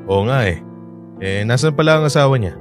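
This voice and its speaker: An actor playing a humble father who leaves his family to work abroad, speaking softly and earnestly in a radio drama. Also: medium pitch, lively intonation, fairly quick, over music, close to an everyday voice. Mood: friendly. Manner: soft, earnest, gentle. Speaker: overseas worker, father.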